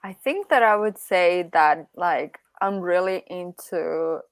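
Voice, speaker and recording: A woman's voice: lowish voice, slow, clear, resonant tone, dry recording. A woman from Croatia, a guest on a podcast, who gives thoughtful answers filled with "like" fillers. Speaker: startup founder guest. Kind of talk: casual interview answers.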